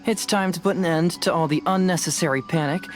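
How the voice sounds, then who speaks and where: An actor playing a composed young detective in a video game, explaining things in a measured, articulate, confident way. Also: male voice, fairly high pitch, faint music behind, close to an everyday voice. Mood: thoughtful, decisive.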